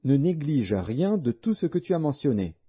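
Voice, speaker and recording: Male narrator as commanding king, audiobook, regal, declamatory, husky, muffled recording. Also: fairly high pitch, big pitch swings, normal speed, pitched above the performer's own voice. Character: commanding male Persian king giving orders. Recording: dull, lo-fi audio.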